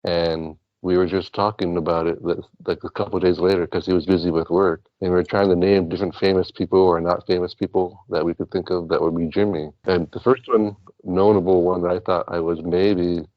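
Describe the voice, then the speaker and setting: A man's voice: sits low, level tone, fairly quick, few pauses, dry recording, muffled recording. A man talking casually and earnestly as a talk show guest, rambling as he goes. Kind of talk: casual rambling talk about past lives and spirituality.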